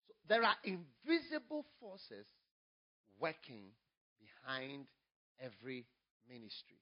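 A man with a Ghanaian English accent, a pastor preaching a sermon, moving from quiet storytelling to loud, emphatic preaching. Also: medium pitch, wildly swooping pitch, slow, lo-fi sound.

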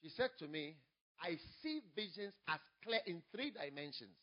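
A man with a Ghanaian English accent, a pastor preaching a sermon, moving from quiet storytelling to loud, emphatic preaching. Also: on the high side, very animated, normal speed, lo-fi sound.

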